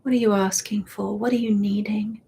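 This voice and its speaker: A woman guiding a meditation, speaking softly and soothingly and often dropping into a whisper. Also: mid-range voice, steady tone, breathy edge. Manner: slow, soft and soothing, drifting into whispers. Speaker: meditation guide. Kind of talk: guided meditation.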